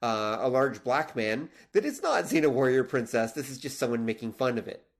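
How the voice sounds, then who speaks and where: Canadian man explaining emphatically, medium-pitched voice. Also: lively intonation, moderate pace. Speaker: podcast co-host; teacher. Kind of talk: casual discussion, explaining with examples.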